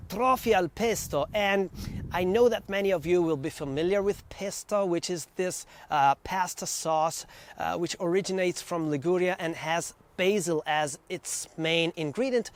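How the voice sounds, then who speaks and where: High-pitched Italian-accented man presenting a lesson. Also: no room sound. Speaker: presenter of language lessons through songs. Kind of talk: scripted educational presentation to viewers.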